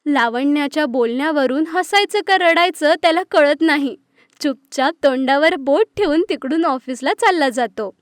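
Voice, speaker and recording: A woman's voice: sits high, moderate pace, no echo, studio quality. A woman narrating a scripted children's story.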